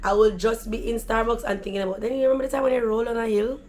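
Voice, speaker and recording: A woman with a Jamaican accent and a deep, raspy voice, telling stories in a chatty, animated vlog. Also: racing. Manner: animated, chatty, slips into patois. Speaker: vlogger. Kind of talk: casual storytelling.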